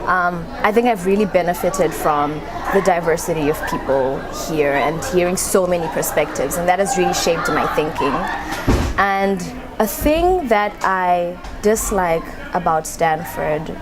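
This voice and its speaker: A young woman student speaks casually, as if answering an interview question. Her voice sits on the low side, and there is clear ambient noise behind her. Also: animated, measured pace. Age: young adult. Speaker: university student. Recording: noticeable background ambient noise.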